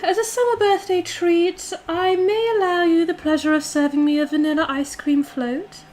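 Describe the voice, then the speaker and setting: An imperious British duchess played by a woman, with a very high, girlish pitch. She is pompous and condescending, handing out orders to her stream audience as if to servants, and leaning hard on words for emphasis. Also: steady tone, normal speed, darkish tone. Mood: pompous, demanding. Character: haughty, bossy aristocratic dominant girlfriend calling herself Duchess. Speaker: livestreamer playing a character for viewers. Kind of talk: in-character roleplay livestream, reading and answering chat.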